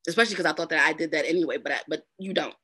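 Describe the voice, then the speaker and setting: Woman, New Jersey accent, low-set bright voice, dry close sound; chatty narrator guest. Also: rapid-fire, slightly rough, no room sound. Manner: chatty, uses lots of fillers and repeats. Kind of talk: casual interview chat.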